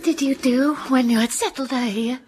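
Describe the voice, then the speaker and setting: An actress playing Jane Eyre, a young governess asking earnest, probing questions softly in a radio drama. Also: female voice, high voice, fairly even intonation, moderate pace, a little hiss or hum, lightly acted.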